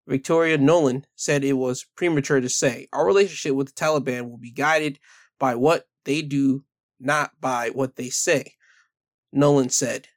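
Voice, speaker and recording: A man hosting a casual solo podcast, talking loosely with restarts and self-corrections, then reading news articles aloud. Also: medium pitch. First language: English. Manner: rambling, self-correcting, chatty. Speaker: podcast host.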